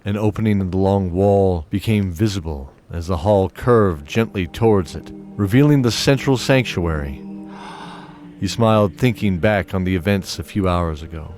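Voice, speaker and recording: A man narrating a fantasy audio drama in a lowish voice, delivering steady, descriptive scripted storytelling. Music plays clearly beneath him. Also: normal speed.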